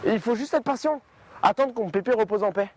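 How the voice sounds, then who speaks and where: A man's voice: high-pitched, expressive, fast. A man playing a desperate, broke man who pleads and argues about money on the phone. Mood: exasperated. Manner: pleading and exasperated.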